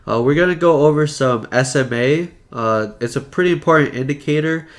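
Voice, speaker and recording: A man's voice: on the high side, brisk. Stuffy-nosed man explaining trading charts. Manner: casual, many "uh" fillers, talks while pointing at a chart. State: sick, a little stuffy. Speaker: trading channel presenter. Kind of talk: screen-share chart tutorial.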